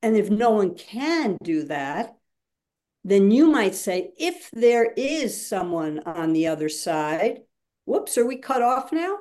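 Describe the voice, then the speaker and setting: A woman's voice: medium pitch, very animated, slow, darkish tone. A woman speaking as a guest facilitator in a webinar, explaining emphatically and acting out examples as she goes.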